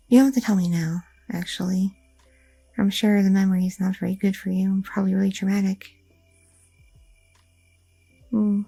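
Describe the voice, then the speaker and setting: A young woman playing a loving, chatty goth girlfriend in an ASMR roleplay, talking softly and intimately with lots of fillers, close to the mic. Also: mid-range voice, creaky, breathy edge, no echo, poor audio. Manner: soft, intimate, rambling with many fillers.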